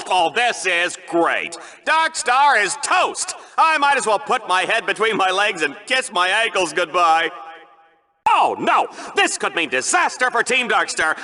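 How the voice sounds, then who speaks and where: A man's voice: sits high, sharp tone, full character voice. An actor playing a flamboyant, vain, manic male announcer in a video game, commentating in a hammy, mock-dramatic way. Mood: agitated. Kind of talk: over-the-top video game sports commentary.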